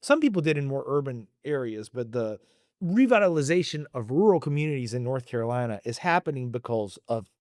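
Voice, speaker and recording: A man's voice: medium pitch, big pitch swings, fairly quick, a little mellow, dry recording, studio quality. A man in his forties speaking thoughtfully and earnestly in conversation, now and then with emphasis. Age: 40s. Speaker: interviewee. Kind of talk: reflective conversation.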